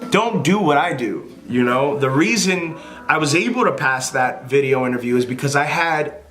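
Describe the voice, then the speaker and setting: A man's voice: a little high, expressive, normal speed, fairly bright tone, soft background music. A man giving casual, unscripted advice to a camera as a vlogger, in a rambling, informal and apologetic manner.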